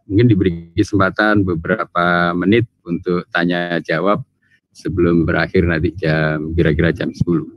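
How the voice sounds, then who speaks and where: Middle aged guy, low voice, polite wordy moderator intro, pretty dry sound on a video call. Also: no echo. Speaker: moderator introducing a guest lecturer. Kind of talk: formal but improvised introduction at an online lecture. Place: indoors, seated before an event backdrop on a video call. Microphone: video call microphone.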